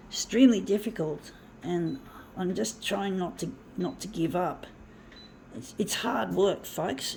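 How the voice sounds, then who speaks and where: A woman giving a rambling, earnest personal monologue, halting and repeating herself as she addresses her listeners. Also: mid-range voice, creaky, darkish tone. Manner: halting, repetitive, often addressing listeners as folks. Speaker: presenter of a personal video. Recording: noisy amateur recording with background ambience.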